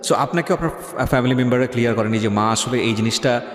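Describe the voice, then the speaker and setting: A man's voice: medium pitch, racing. A man with a Bangladeshi accent hosting a radio interview, asking probing questions and mixing in English words. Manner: mixes English words into speech. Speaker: radio show host interviewing. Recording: echoey reverberant sound with music behind. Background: music.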